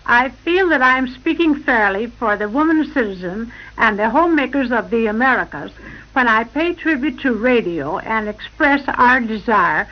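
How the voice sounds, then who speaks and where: A woman reading a prepared radio address as a guest speaker in a formal manner. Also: on the high side. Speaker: club president, guest speaker. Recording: muffled 1940s radio broadcast recording.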